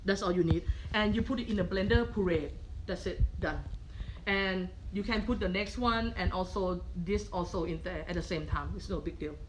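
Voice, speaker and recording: A woman's voice: fairly low pitch, normal speed, low-quality recording. Middle-aged Thai-accented woman, patient cooking instructor, kitchen clatter and faint hiss under her. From Thailand. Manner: patient, checks in with frequent "okay?". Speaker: culinary professor. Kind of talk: live cooking demonstration.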